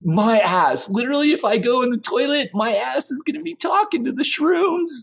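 Man acting as insecure aging male rock vocalist, lively pitch, husky, rambling, repetitive, improvised stage scene. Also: normal speed. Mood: panicked, ranting. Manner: rambling, stammering, repetitive, sweary. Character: needy, insecure aging male rock singer, past his prime. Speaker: actor in an improvised theater scene. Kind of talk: improvised monologue and dialogue acting.

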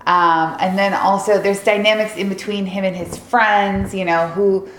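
A woman's voice: medium pitch, fairly even intonation, normal speed. A woman co-hosting a podcast, giving her casual, conversational take on films. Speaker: podcast co-host. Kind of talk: casual conversational movie review. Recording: echoey room.

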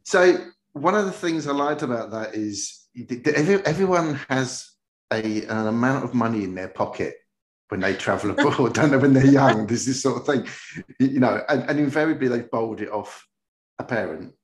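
A man's voice: medium pitch, moderate pace. Roomy-sounding man hosting a chatty podcast. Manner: jokey, chatty, rambling. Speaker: podcast host. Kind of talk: casual conversational interview.

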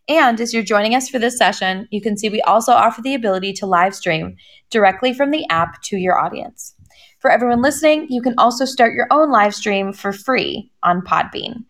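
A woman's voice: mid-range voice, fairly bright tone. A woman announcer reading a scripted introduction to a live podcast stream.

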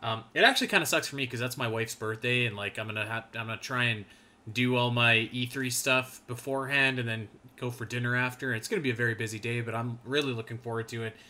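A man's voice: medium pitch, fast talker, bright, forceful tone. A man in his thirties co-hosting a gaming podcast, talking in a rambling, laid-back way with lots of fillers. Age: 30s. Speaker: podcast co-host. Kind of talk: casual gaming podcast chat.

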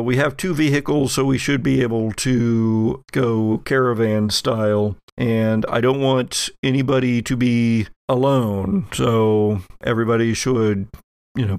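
A man playing a harried male protector in an audio game, giving practical instructions in a halting, rambling way. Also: mid-range voice, no echo, natural voice.

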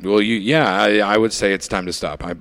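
A man plays a casual surgeon in an improvised radio comedy. His voice sits a bit low, and he explains things bluntly in a halting, matter-of-fact way. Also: steady tone, fairly bright tone. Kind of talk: improvised radio-play parody.

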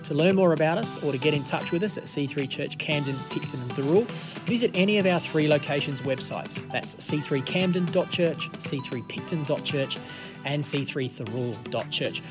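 Jovial Australian man, slightly croaky. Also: on the high side, normal speed, music in the background. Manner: jovial, playful banter. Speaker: podcast host. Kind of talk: casual Bible podcast chat. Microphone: studio microphone.

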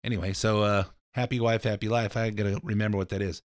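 A man's voice: fairly low pitch, little pitch movement, fast, dry recording, studio quality. A middle-aged man hosting a talk radio show with a slightly scratchy voice, rambling in a folksy way with fillers and restarts between ads for his mortgage business. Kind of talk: casual political talk radio monologue.

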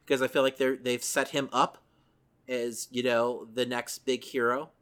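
A man's voice: mid-range voice, flat delivery, normal speed, a bit bright, clear, resonant tone. A man co-hosting a podcast, chatting casually and thinking aloud as he goes. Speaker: podcast co-host. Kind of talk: casual chat about comic book films.

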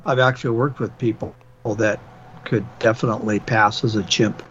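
A man's voice: fairly low pitch, steady tone, normal speed, fairly bright tone, lo-fi sound, slight background noise. A man co-hosting a casual podcast chat, hesitant and full of fillers as he reads listener questions. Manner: hesitant, many fillers and restarts. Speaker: podcast co-host.

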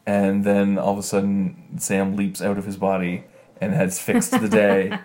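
A man's voice: on the low side, level tone, moderate pace, a little mellow. A man from Canada co-hosting a podcast, chatting casually and tired from little sleep, acting out quotes in silly voices. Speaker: podcast co-host. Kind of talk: casual chat.

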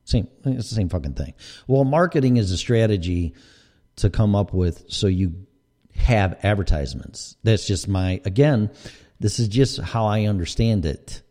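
A man's voice: fairly low pitch, expressive, moderate pace, dark tone. Blunt, profane Chicago-accented man. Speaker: podcast host. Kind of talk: casual rant.

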